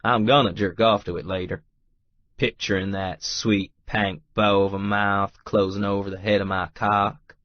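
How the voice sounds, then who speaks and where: A man's voice: on the low side, little pitch movement, moderate pace, no room sound, highs cut off. A man reading a first-person novel aloud as an audiobook narrator.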